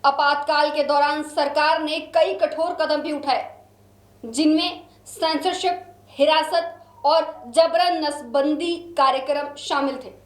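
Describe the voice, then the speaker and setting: A woman's voice: sits high, fairly even intonation, takes it slowly, reverberant. A woman news presenter delivering dramatic scripted narration in an emphatic, solemn way.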